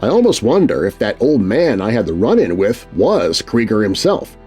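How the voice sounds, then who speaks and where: A man reading a children's scary story aloud, voicing letters in a scripted, expressive narration. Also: lowish voice, moderate pace, slightly rough, faint music behind.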